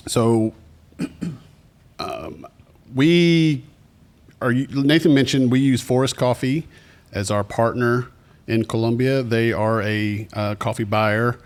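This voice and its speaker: A man's voice: mid-range voice, slow pace, long pauses, darkish tone. A man from Tennessee with a Southern US accent, speaking as a podcast host in a conversational, explanatory way to a live audience. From Tennessee, USA. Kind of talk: informal presentation to a live audience. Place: cafe, live event.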